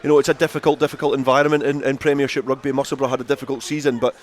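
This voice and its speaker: A man's voice: mid-range voice, flat delivery, rapid-fire. A man giving off-the-cuff rugby analysis as a pundit, repeating words as he finds his phrasing. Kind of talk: unscripted analysis.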